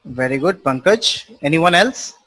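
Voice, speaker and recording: A man's voice: a little high, lively intonation, a little slow, fairly bright tone. A man teaching a live online class, explaining terms with repeated simple definitions and quizzing his students. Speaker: networking instructor.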